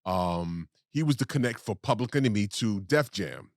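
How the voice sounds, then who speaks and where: A man's voice: lowish voice, lively intonation, normal speed, no room sound, studio quality. A man co-hosting a podcast, chatting casually and rambling off on tangents. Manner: rambling, digressive storytelling. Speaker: podcast co-host.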